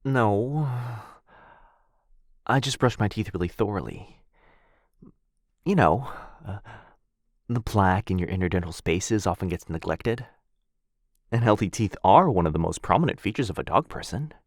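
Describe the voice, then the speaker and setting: A man playing a shy, sweet, earnest dog-person roommate in an intimate boyfriend ASMR roleplay, speaking softly and hesitantly. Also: fairly low pitch, lively intonation, no echo, clean studio sound. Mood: flustered, defensive. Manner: soft, hesitant, halting, bashfully rambling.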